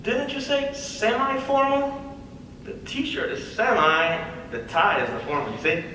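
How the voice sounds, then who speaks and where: A man's voice: sits high, a little slow, pushed and bright. A man acting an awkward, sarcastic, disgruntled guy in a dramatic script reading, with dry delivery. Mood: sardonic, defensive. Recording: echoey hall, far from mic, faint noise.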